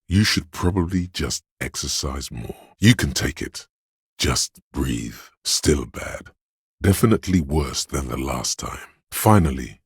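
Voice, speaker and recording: A man's voice: no room sound, clean studio sound, full character voice. A man voicing a video game's inner voice of pain, a grim, sardonic, goading presence narrating with brooding deliberation. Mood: brooding, dry. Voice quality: deep, gravelly, husky. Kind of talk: dramatic second-person game narration.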